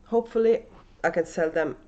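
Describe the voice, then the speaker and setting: A Norwegian-accented woman talks casually and hesitantly to her camera at home, full of "uh"s and restarts. Her voice sits a little low for a woman. The camera audio sounds somewhat dull and muffled. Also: a little fast, mellow. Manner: hesitant, many fillers and restarts. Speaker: knitting podcast host and yarn dyer. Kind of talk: casual unedited video podcast chat. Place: at home, indoors. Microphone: camera microphone.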